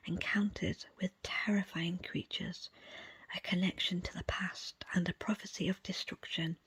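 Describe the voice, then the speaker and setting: A woman whispers her way through a book reading in ASMR style. Her voice is very breathy and almost entirely unvoiced, with a slightly low, crackly edge. The phrasing is hushed and measured, broken by small pauses. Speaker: book reader presenting an audiobook extract.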